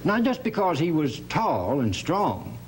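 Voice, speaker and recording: Animated folksy cowboy storyteller. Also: male voice, fairly high pitch, measured pace, exaggerated voice, low-quality recording. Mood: jovial, folksy. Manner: folksy drawl, chuckling, animated. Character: folksy Texan cowboy storyteller, jovial tall-tale spinner. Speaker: guest storyteller. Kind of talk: tall-tale storytelling in an educational radio drama. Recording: hum and faint background noise.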